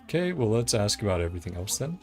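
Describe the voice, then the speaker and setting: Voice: mid-range voice, big pitch swings, quick pace. A game livestream host chatting casually in a giggly, hesitant, rambling way. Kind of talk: casual game stream chat. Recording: game music behind the voice. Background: video game music.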